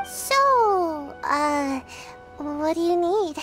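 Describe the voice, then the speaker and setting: A woman's voice: very high voice, sing-song, very slow, fairly bright tone, soft background music, full character voice. A woman voicing a small, sleepy young girl ninja in a video game, reluctant and evasive, with a drowsy, hesitant manner. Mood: nervous, evasive. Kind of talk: video game character dialogue.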